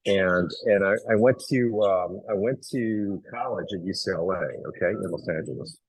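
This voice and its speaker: Middle-aged man from Hong Kong, actor guest, husky and low, bone-dry close sound, chatty with plenty of restarts. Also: normal speed, no room sound, poor audio. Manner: animated storyteller, many fillers and restarts. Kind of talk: casual interview.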